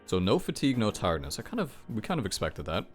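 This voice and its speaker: A man with a low, lively voice reacts casually to video game news. He thinks aloud, swears freely and exclaims, his pitch swinging widely. Faint music plays behind him. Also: fast.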